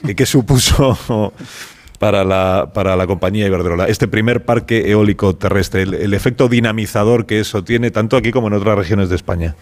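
A man's voice: on the low side, brisk. A man hosting a radio show on location, talking in a chatty, friendly way and repeating words as he goes. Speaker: radio host.

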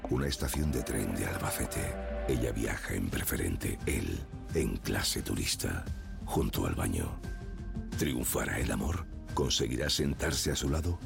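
A man with an extremely deep, heavily creaky voice narrates like a film trailer. Music plays behind his scripted advertising read. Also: animated, measured pace. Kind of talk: mock movie-trailer narration.

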